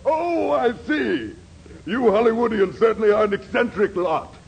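A man's voice: high-pitched, sing-song, moderate pace, vocal fry, heavily acted. An actor playing a pompous, vain male thespian in a 1940s radio comedy, with haughty, formal diction. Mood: indignant. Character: pompous, vain stage actor hungry for stardom. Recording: noisy vintage 1940s radio recording.

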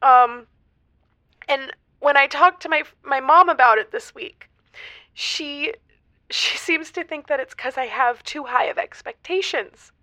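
A dry, close recording of an earnest single woman in her thirties. Her voice is fairly high and bright, and she talks in halting, emotional phrases as a radio drama caller. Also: no echo. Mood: frustrated, pleading. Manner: halting, emotional, giggly hesitations. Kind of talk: semi-improvised audio comedy drama.

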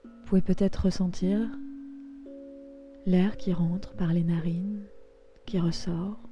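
Low-pitched woman guiding meditation over music. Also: fairly even intonation, muffled recording. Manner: soft, soothing, unhurried, with pauses between phrases. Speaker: yoga nidra guide. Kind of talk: guided meditation. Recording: music behind the voice.

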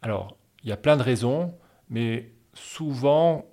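A man working as a wealth management advisor, answering interview questions in a measured, explanatory way with frequent hesitations. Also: medium pitch, expressive, warm tone.

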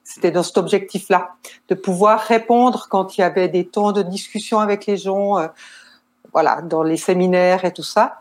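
A woman's voice: on the low side, steady tone, moderate pace, a little mellow. A woman in her sixties with a Swiss French accent, a nurse and counselor answering interview questions in a hesitant way, with many fillers and restarts. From Switzerland. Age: 60s. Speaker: guest nurse and marriage counselor.

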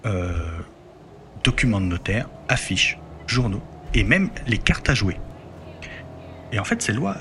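A man telling history in a conversational podcast, explaining at length and filling pauses as he builds his sentences. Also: mid-range voice, a bit bright, a little hiss or hum. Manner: explanatory, leans on filler words while building sentences.

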